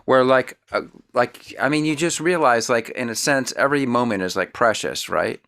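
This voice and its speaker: A male interviewer with a medium-pitched voice. He asks rambling, halting questions in a loose, joking way, often starting over with "like" and "I mean". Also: a bit bright. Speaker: interviewer, show host. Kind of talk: casual interview conversation.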